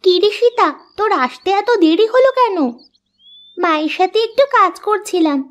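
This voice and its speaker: A performer voices a young village girl in a cartoon fairy tale. She is sweet and eager. The voice sits extremely high and moves with lively swings. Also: moderate pace, lo-fi sound. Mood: chatty.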